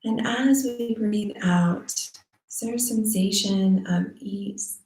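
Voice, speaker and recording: A woman teaching guided meditation in a soothing, unhurried manner with long pauses. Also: mid-range voice, a little mellow. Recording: roomy echo.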